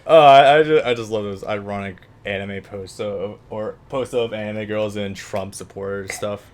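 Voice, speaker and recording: A man's voice: lowish voice, moderate pace. A man from the United States co-hosting a let's play, chatting casually and swearing freely. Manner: casual and profane. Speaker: let's play co-host and gamer. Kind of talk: casual gaming chat and commentary.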